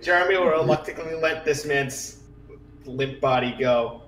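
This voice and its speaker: A man's voice: fairly high pitch, a little slow, fairly bright tone, slight background noise. A man playing a tabletop game, describing his character's actions in a loose, hesitant, conversational way. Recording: roomy echo, distant mic, faint music.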